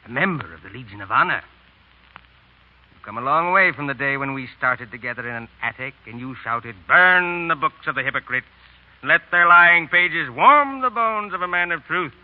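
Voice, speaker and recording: An actor playing a frank, principled middle-aged French painter, speaking with earnest reproach in the manner of 1930s radio drama. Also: male voice, fairly high pitch. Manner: earnest, reproachful, deliberate phrasing. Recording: tinny, narrow 1930s radio broadcast.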